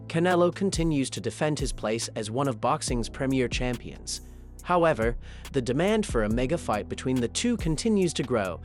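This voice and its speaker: A man reading scripted sports news narration in an even, steady way. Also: medium pitch.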